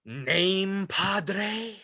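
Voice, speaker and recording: Someone acting a taunting theatrical demon, slow n swoopy, bright voice, fake polite, stage play reading. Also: mid-range voice, lively intonation, husky, exaggerated voice. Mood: ominous. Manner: mock-polite, drawn-out, taunting. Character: smooth, menacing, theatrical demon Mister Woozle, mocking and ominous.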